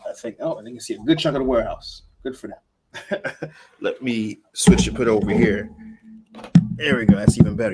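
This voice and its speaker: A man's voice: medium pitch, slight background noise. A man in his thirties hosting a live-stream interview, asking rambling questions with many restarts. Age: 30s. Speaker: host.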